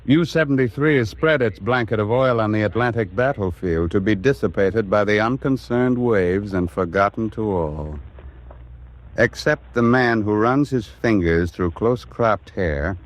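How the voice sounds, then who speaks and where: A man's voice: on the low side, lightly acted. A man with an American accent narrating in a grave, oratorical 1940s radio drama style. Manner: grave, oratorical, measured phrasing.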